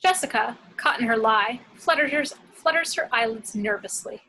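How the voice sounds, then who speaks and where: A woman's voice: fairly high pitch, lively intonation, moderate pace, bright, forceful tone, low-quality recording. A woman reading action descriptions from a film script as scripted narration. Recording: clear background noise, home recording.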